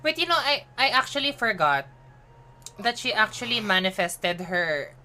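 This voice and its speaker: A woman's voice: a little high, big pitch swings, moderate pace, bright, forceful tone. A woman, streaming and reacting to a game in chatty, teasing banter. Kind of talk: casual live game commentary.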